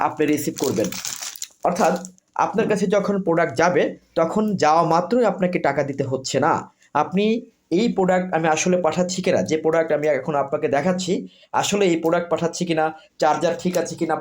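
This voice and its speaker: Male Bangladeshi product seller, higher-pitched voice, quick delivery, insistent demo pitch with list-like phrasing. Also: slight background noise. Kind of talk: informal product demonstration sales pitch.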